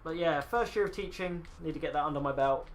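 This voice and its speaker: A man's voice: on the high side, quick pace, faint music behind. A man in his twenties casually chatting and rambling to viewers while streaming a game. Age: 20s. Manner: rambling, chatty, swears casually, talks to chat. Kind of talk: casual live-stream chat.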